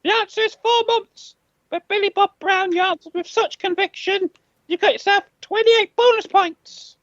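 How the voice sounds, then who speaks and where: A woman's voice: extremely high pitch, normal speed, fairly bright tone, no room sound, muffled recording. A woman co-hosting a comedy podcast, teasing and chatty as she keeps score. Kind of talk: comedy quiz chat.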